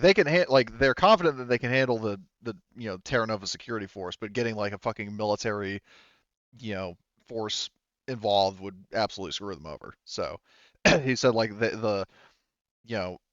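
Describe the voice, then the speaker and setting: A man improvising as game master of a tabletop roleplaying game, halting as he searches for words and swearing casually. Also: fairly low pitch, a bit bright, dry recording, muffled recording. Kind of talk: improvised narration of a tabletop roleplaying game, relaying characters' replies.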